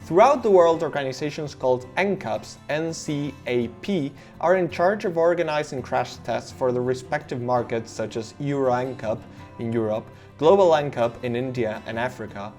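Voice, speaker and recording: A man's voice: mid-range voice, normal speed, darkish tone, soft background music. Argentinian man narrating a car explainer. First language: Spanish. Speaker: car reviewer.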